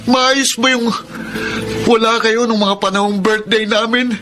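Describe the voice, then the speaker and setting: Sullen high-voiced young Filipino son. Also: male voice, fairly even intonation, a little slow, music in the background. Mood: hurt, accusing. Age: 20s. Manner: sullen, curt, accusing. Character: resentful, defiant Filipino son of twenty-two. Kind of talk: radio drama acting. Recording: noisy, with ambience behind.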